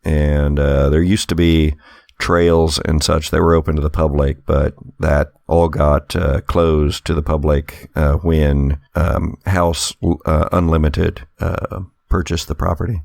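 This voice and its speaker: A low, creaky male voice in a very dry recording. He runs a tabletop game, improvising descriptions in an explanatory, conversational manner. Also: flat delivery, unhurried, a little mellow, dry recording. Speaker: game master.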